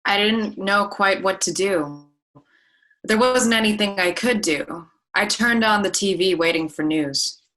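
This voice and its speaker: A woman's voice: mid-range voice, a little slow, a bit bright, natural voice, rough recording. A woman reading the part of a shaken congregant in a documentary play, recounting events plainly. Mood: tense, helpless. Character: shaken synagogue congregant recounting the morning of an attack. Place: remote Zoom reading from a room.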